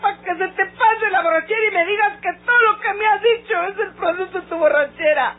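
Very high-pitched, scolding Mexican woman. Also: fairly quick, soft background music. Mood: distraught. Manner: scolding, rural colloquial speech. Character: fierce, scolding, distressed woman named Teresa. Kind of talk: old Mexican radio soap acting. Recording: muffled, noisy old radio recording.

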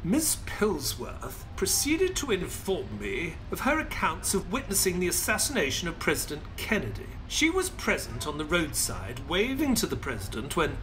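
A man playing a courteous, excitable gentleman sidekick who narrates an audio play in an expressive, polite way. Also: fairly high pitch, moderate pace, hardly any pauses, music in the background. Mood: measured storytelling. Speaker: actor and narrator.

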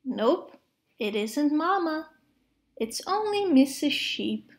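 A woman narrates a storybook for preschoolers in a high-pitched voice. Her delivery is very slow and measured, with lively, expressive swings in pitch. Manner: expressive, measured phrasing with playful sound words. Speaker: read-aloud narrator of children's picture books. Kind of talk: storybook read-aloud for preschoolers.

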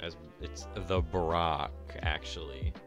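A young man with a very deep voice, chatting casually and rambling while streaming a game. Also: slow pace. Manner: relaxed, rambling, laid-back. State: sore chest and ankle. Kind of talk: casual gaming chat. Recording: streamed voice chat, faint music behind.

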